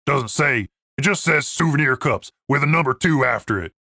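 A big, gruff brute of a man, acted by a woman, raspy and forceful. He is dim, blunt and sullen, a sulky adventurer in a comic fantasy audiobook, recorded very dry. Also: rumbling low, expressive, normal speed, no echo, heavily acted. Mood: flat.

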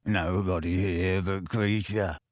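Wheezy gleeful house elf, very low, slow, soft. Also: male voice, steady tone, no echo, clean studio sound.

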